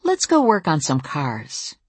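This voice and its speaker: A wry, sarcastic heroine, played by a woman narrator teases in a husky voice. Her audiobook dialogue is lively and varied in pitch, with a dry edge. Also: slow pace, no echo, full character voice. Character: wry, teasing adult heroine talking to companion.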